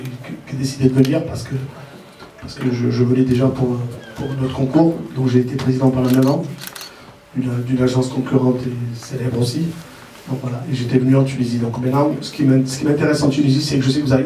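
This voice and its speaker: Male presenter, mellow voice, halting informal explanation, roomy and distant sound. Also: mid-range voice. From Algerian family origin. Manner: hesitant, repeats words while explaining. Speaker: president of a model contest, speaker at press presentation. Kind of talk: informal spoken presentation to press. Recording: roomy echo, distant mic, faint noise.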